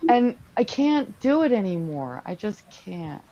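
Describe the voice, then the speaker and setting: A woman's voice: mid-range voice, big pitch swings, measured pace, muffled recording. A woman chatting casually on a live show in a blunt, candid way, swearing freely.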